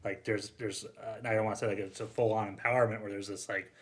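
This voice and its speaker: Low-voiced man chatting informally. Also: level tone, quick pace. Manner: informal, frequent "like", self-interrupting. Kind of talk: casual conversational storytelling.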